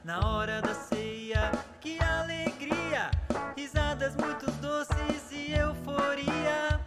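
A woman's voice: high-pitched, fairly even intonation, extremely slow, loud music behind the voice. A woman with a Brazilian accent singing a children's Christmas song.

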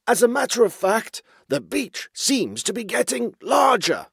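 Storybook walrus underling: high voice, anxious, placating, meek before the boss. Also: male voice, sing-song, unhurried, vocal fry, a bit bright, breathy edge, no echo, studio quality, heavily acted. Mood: falsely cheerful. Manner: anxious, placating, hesitant with stammers. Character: timid, nervous walrus underling, fawning to his chief.

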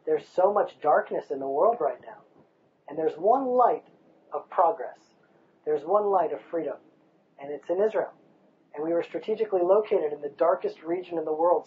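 A man's voice: on the high side, phone-quality sound, slight background noise. A man in his thirties from the United States, speaking passionately in an outdoor interview on a hilltop overlook, recorded with a lapel mic. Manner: passionate, emphatic, rhetorical.

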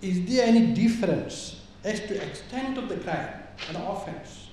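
A man puts a question from the floor, searching for his words. His voice is fairly high and soft-toned. The hall echoes heavily around him, with faint noise. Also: animated, measured pace. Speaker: audience questioner. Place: lecture hall audience seats.

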